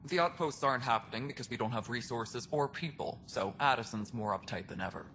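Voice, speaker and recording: Gruff alien superintendent, blunt, sardonic, world-weary, game cutscene, faint background noise. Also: male voice, mid-range voice, brisk, pushed and bright. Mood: grim, sardonic. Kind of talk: video game cutscene dialogue.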